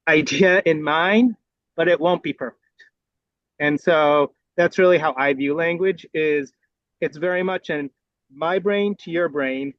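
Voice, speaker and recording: A man sharing reflective thoughts in a group discussion, measured, with many pauses. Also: a little high, dry recording, lo-fi sound. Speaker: discussion participant.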